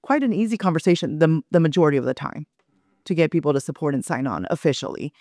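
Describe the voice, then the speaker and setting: A woman's voice: low-pitched, animated, fast talker, mellow, dry recording, clean studio sound. A woman speaking earnestly on a panel discussion, explaining at length with quoted asides. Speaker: panel guest, community organizer.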